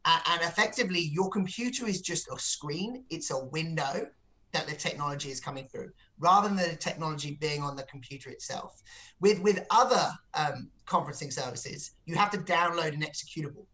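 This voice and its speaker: Bright-voiced man explaining his business. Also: fairly high pitch, lively intonation, a little fast. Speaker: company chief executive, interview guest. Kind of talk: business interview, explanatory pitch.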